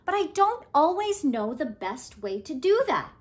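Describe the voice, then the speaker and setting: An earnest young boy from a storybook, read by a woman, high and lively. He puts his questions with wide swings of pitch and careful emphasis. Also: a little slow. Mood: earnest, wondering.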